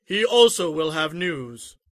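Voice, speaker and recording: A man voicing an old king, a grieving, anxious and commanding father, in a strained, high put-on voice. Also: lively intonation, slow pace, a bit bright, dry recording, clean studio sound. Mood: calm.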